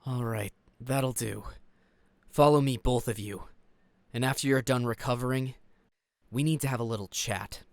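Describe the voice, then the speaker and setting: An actor playing a commanding master in an audio drama, brusque and authoritative. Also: male voice, medium pitch, normal speed, creaky, no room sound, natural voice. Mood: stern. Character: commanding, brusque master used to being obeyed.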